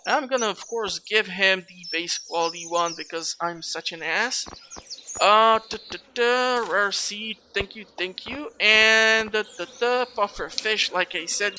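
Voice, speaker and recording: A gameplay host giving casual let's play commentary, rambling and thinking aloud. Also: medium pitch. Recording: loud background noise, clicks.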